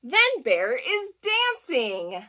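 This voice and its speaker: Female children's storytime reader: bright, very lively intonation, expressive and deliberate with emphasized words. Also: high-pitched. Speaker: children's librarian hosting a storytime. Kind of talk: animated read-aloud of picture books for young children.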